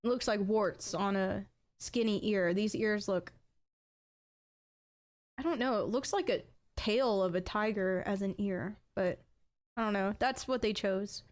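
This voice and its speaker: A young adult woman with an accent, chatting casually as a game streamer and bursting into excited exclamations. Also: mid-range voice, unhurried. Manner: chatty, bursts into excited "ooh"s over items. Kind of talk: casual live-stream chat.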